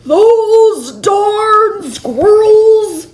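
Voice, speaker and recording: A woman voicing a grumpy old man from a storybook, yelling in drawn-out exclamations. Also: very high-pitched, lively intonation, pitched above the performer's own voice, full character voice. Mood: exasperated.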